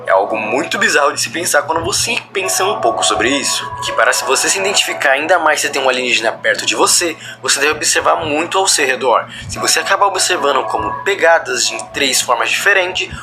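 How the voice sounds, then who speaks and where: A Brazilian man with a slightly high voice explains things as a commentator, in a wordy and roundabout manner. Prominent music plays behind him the whole time. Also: animated, fast, hardly any pauses. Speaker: video host. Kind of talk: reaction commentary explaining a horror video. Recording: loud background music under the voice.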